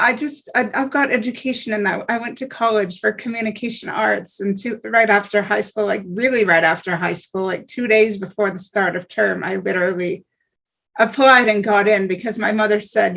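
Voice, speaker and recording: A woman talking with real passion, her phrases tumbling out in quick run-on bursts. Her voice is middle-pitched with a gravelly, fry-tinged edge. She is chatting casually over a video call. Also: fairly even intonation, a bit bright, poor audio. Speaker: theater actor in a table read. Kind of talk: casual panel discussion.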